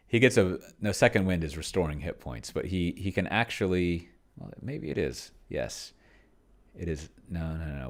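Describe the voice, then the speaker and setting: A man with a very low, gravelly voice gives casual, think-aloud game commentary. He speaks haltingly, half muttering to himself as he works through things and types. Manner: self-described pedantic. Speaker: solo tabletop player and video host.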